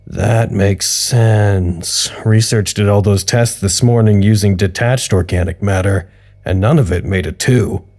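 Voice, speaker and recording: A man's voice: lowish voice, measured pace, a little mellow. A man acting as a dry, questioning, slightly put-upon research scientist in an audio drama. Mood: probing. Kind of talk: naturalistic audio drama dialogue.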